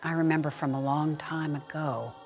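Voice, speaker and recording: An actress playing an earnest, reflective senior woman, speaking deliberately in an expressive dramatic monologue. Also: sits low, faint music behind. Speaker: actor reading seniors' writing.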